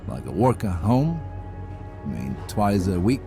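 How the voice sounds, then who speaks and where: An actor playing a cynical, resigned corporate worker in a horror audio drama, with a weary, sardonic manner. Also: male voice, low-pitched, very animated, unhurried, music in the background, full character voice. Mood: wry, resigned.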